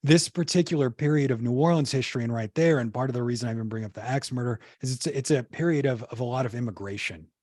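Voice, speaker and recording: A man's voice: medium pitch, fast, few pauses, a little mellow, no echo, studio quality. A man, a podcast guest, telling history in a casual, rambling way full of fillers and restarts. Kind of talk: casual conversational storytelling.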